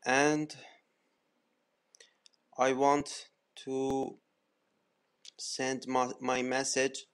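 A man with an Iranian accent giving a formal spoken message, halting and deliberate with long pauses. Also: mid-range voice, monotone, a bit bright, clear, resonant tone. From Iran. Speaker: voicemail caller. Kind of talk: formal spoken greeting and declaration.

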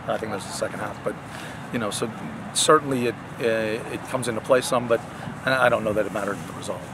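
A man's voice: lowish voice, fast, noisy background. An older man, a head soccer coach, answering post-game interview questions on a field at night, with many fillers and restarts.

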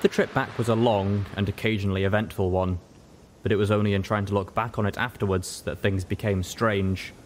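A man's voice: fairly even intonation, a little fast. A man reading scripted story narration as an audiobook narrator. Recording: roomy echo, audible noise, low rumble.

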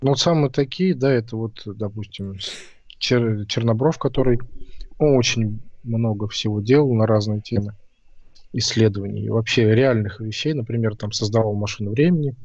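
A man's voice: lowish voice, normal speed, darkish tone, no echo. Rambling man on a dull stream mic. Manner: rambling, hesitant, reads out chat. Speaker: stream co-host. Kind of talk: casual stream chat.

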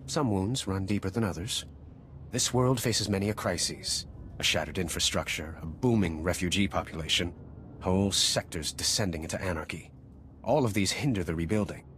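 An actor playing a courteous, earnest political aide in a video game, formal and polished. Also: male voice, low voice, moderate pace, a bit bright, a little hiss or hum. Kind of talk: video game cutscene acting. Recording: faint background ambience.

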